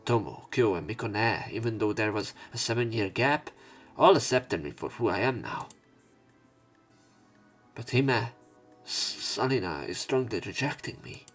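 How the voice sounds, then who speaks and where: Low-voiced man narrating a visual novel. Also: measured pace. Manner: sweary reactions, expressive dialogue reading. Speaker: let's player. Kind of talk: reading visual novel aloud with casual commentary. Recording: game music behind voice. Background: game music.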